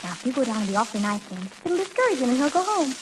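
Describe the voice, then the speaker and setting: Teenage girl: high voice, gushing and melodramatic, boy-crazy, 1940s radio comedy, noisy old recording. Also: sing-song, racing. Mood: pleading. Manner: gushing, exaggerated teenage slang. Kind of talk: 1940s radio sitcom acting.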